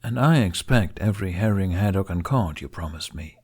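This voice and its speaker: A man's voice: low voice, lively intonation, moderate pace, a little mellow, breathy edge. A man voicing a grumpy, sarcastic, demanding male dragon in an audiobook, with imperious, drily bantering delivery. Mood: haughty grumbling. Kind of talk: audiobook character acting.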